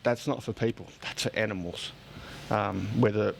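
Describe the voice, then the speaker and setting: A man's voice: lowish voice, steady tone, moderate pace, vocal fry, a little hiss or hum. A man with an Australian accent chatting casually as a podcast host, blunt and joking as he rambles along. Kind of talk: casual chat.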